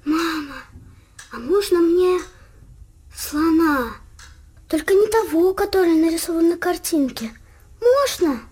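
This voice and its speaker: An actress voicing a small, sick girl who speaks slowly and plaintively in a radio play. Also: extremely high pitch, expressive, darkish tone, full character voice. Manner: slow, plaintive and capricious.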